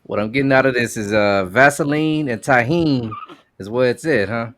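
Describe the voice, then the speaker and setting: A man's voice: medium pitch, moderate pace, fairly bright tone. A man co-hosting a podcast in casual banter. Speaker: podcast co-host.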